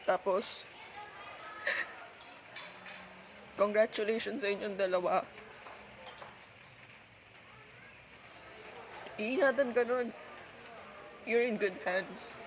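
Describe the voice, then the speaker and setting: A woman with a Filipino accent playing a heartbroken, self-sacrificing girlfriend in an ASMR roleplay, speaking in a halting, tender, pleading way. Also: medium pitch, fairly even intonation, lightly acted. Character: heartbroken, self-sacrificing long-time girlfriend letting her partner go. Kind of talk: ASMR girlfriend roleplay, intimate one-sided dialogue. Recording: clearly noisy background.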